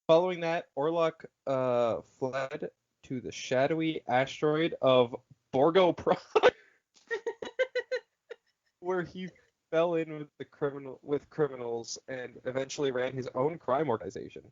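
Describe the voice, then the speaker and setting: Male podcast co-host, slow delivery, sweary, exasperated, casual banter and read-outs, lo-fi sound. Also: mid-range voice. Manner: profane, exasperated. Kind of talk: casual podcast banter, reading encyclopedia entries aloud.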